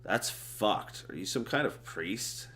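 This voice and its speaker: A man's voice: on the low side, animated, slow, pushed and bright, a little hiss or hum, voice pushed up, exaggerated voice. A man voicing a blunt, sardonic, foul-mouthed woman who smokes, in a storybook reading. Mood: curious, blunt. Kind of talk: audiobook-style character dialogue.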